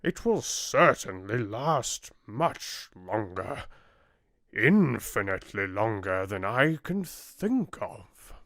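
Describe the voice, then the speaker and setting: A man's voice: on the low side, very animated, takes it slowly, a bit bright, no echo, studio quality, exaggerated voice. A man voicing a huge ancient oak tree in a storybook, grave, pitying and patient, with a ponderous, sorrowful delivery. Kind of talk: storybook character voice.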